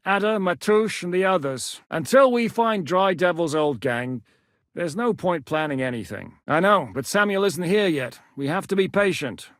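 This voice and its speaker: A man's voice: high voice, lively intonation, normal speed, fairly bright tone, no room sound, studio quality. An actor playing a blunt, battle-hardened male rebel commander in a game, giving orders in a commanding way. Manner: blunt, commanding, plain-spoken. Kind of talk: game voice lines.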